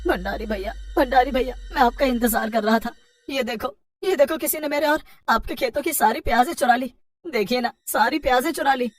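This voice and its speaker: A woman's voice: high-pitched, a little fast, recorded from a distance, soft background music. A woman voicing a trusting, grieving male farmer in a children's cartoon story, plaintive and repetitive. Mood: distraught.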